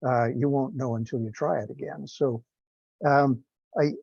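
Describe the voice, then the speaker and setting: Gravelly, husky man on a call. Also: mid-range voice, fairly even intonation, moderate pace, darkish tone, dry recording. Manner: hesitant, rambling, self-deprecating. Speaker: non-scientist project participant in a group technical call. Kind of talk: informal technical discussion on a teleconference. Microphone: teleconference connection. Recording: dull lo-fi conference call, dry.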